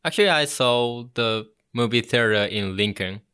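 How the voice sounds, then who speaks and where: A man in his twenties from Japan, speaking English with a Japanese accent, hosting a music radio show in an earnest, halting way with fillers. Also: mid-range voice, pushed and bright, smooth. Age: 20s. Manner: earnest, halting non-native phrasing with fillers. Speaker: radio host. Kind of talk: casual radio show introduction.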